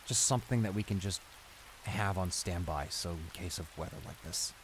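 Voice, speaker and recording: A man's voice: low-pitched, normal speed, natural voice. A man playing a flustered, apologetic young bully in an improvised roleplay audio drama, speaking in a hesitant, stammering way. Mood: grumbling. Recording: steady rain noise behind the voice. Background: rain.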